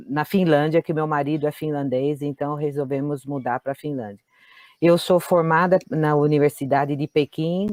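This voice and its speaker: A woman in her fifties who grew up in China and speaks Chinese as her first language, talking calmly and a little hesitantly as a panel guest. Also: low voice, no room sound. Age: 50s. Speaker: guest, interpreter. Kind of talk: casual panel conversation.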